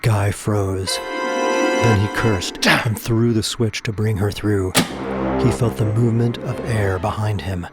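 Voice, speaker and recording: Low-voiced man narrating a suspense drama. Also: steady tone, takes it slowly. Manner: theatrical, suspenseful storytelling. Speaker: narrator and voice actor. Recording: music behind the voice.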